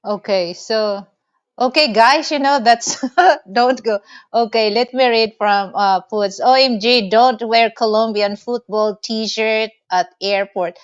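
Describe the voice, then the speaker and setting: A woman's voice: on the high side, takes it slowly, clean tone, no echo. A woman from the Philippines with a Filipino accent and Tagalog as her first language, hosting a casual interview, often laughing and saying "you know". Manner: laughs while talking, many "you know". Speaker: host. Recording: dull lo-fi sound.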